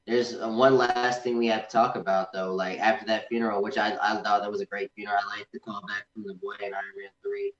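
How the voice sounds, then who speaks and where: A man co-hosting a casual film review chat, speaking in an informal, enthusiastic way. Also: lowish voice. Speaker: podcast host. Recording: echoey room, slightly dull sound.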